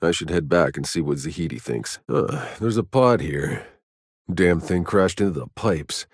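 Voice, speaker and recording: A man playing a gruff, wry, battle-worn soldier in a sci-fi video game. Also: low voice, animated, normal speed, dry recording, clean studio sound, heavily acted. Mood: sardonic, casual. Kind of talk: video game voice lines, dramatic acting.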